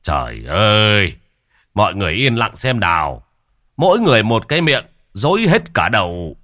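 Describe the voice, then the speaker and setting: A man voicing an older village husband who speaks in a gruff, dismissive, matter-of-fact way. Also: fairly low pitch, huge pitch swings, moderate pace, pushed and bright, no echo, clean studio sound, exaggerated voice. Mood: gruff skeptical.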